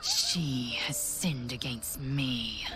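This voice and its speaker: A woman's voice: very deep voice, expressive, very slow, over music, full character voice. An actress playing a fierce, proud young alien warrior woman in a fantasy game, with a clipped, imperious, formal delivery. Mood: hushed, wounded. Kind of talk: cinematic fantasy game acting.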